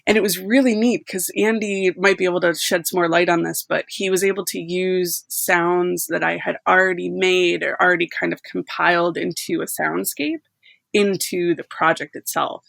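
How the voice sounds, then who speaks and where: A woman's voice: fairly low pitch, steady tone, normal speed, gravelly, fairly bright tone, no echo. A woman from a podcast team chatting informally, often dropping "like" into her sentences. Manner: informal, peppered with "like". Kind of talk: casual chat.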